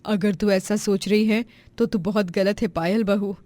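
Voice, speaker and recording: A woman's voice: medium pitch, fairly even intonation, measured pace, mellow, no room sound, close to an everyday voice. A woman voicing a stern middle-aged mother-in-law in a family moral story, bossy in manner. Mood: conciliatory. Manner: bossy, scolding. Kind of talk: Hindi moral story voice acting.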